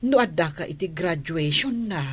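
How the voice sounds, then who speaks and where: A woman playing a gentle, deferential household helper in a radio drama, hesitant and respectful, with a Filipino accent. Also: on the low side, lively intonation, normal speed, slightly rough, dry recording. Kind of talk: Ilocano radio drama acting.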